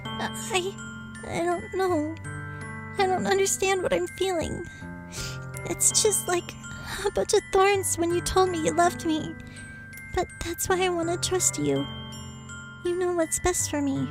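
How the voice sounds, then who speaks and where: A woman's voice: very high-pitched, fairly even intonation, measured pace, pauses often, music in the background. A woman voicing a sweet, fragile anime schoolgirl from a visual novel, hesitant and tearful in her delivery. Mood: uncertain, fragile. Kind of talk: visual novel character read aloud.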